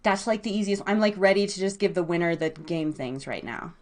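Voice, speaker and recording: A woman with an excitable, informal manner, chatting casually as the live host of a guessing game and cheering on players in slangy language. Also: on the low side, brisk. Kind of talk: casual live radio game-show chat.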